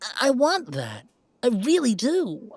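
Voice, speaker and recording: A man playing an earnest, playful young werewolf gamer in an intimate, conversational roleplay audio, speaking in a halting, emphatic way. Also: very high voice, slightly rough, dry recording, full character voice. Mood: earnest, hopeful. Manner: halting, emphatic, expressive, with sighs and chuckles.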